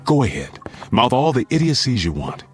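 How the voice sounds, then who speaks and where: An American man playing an anxious but steady father in a radio drama, speaking in a firm, earnest, commanding way. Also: medium pitch, normal speed, slightly rough, faint music behind. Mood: controlled urgency. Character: anxious but steady father preparing family for nuclear attack.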